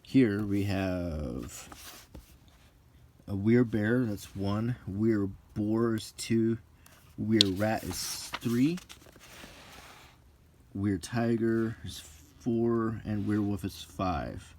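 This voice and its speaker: A middle-aged man talking casually and unscripted in an unhurried, musing way with frequent pauses, like an unintentional ASMR video. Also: lowish voice, background noise. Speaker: channel host.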